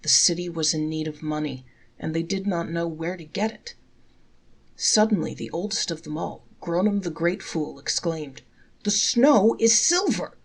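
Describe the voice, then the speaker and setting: A woman reading folktales aloud in a measured, articulate storybook narration. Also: deep voice, breathy edge.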